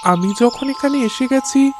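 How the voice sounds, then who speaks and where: Woman playing a slow-spoken, confident young farmer. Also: high-pitched, music in the background. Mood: calm, confident. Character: clever, polite young farmer's son. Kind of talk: Bengali moral-story cartoon dubbing.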